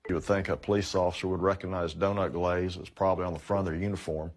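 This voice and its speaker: A man's voice: sits low, flat delivery, fast. A man giving wry commentary in a played clip.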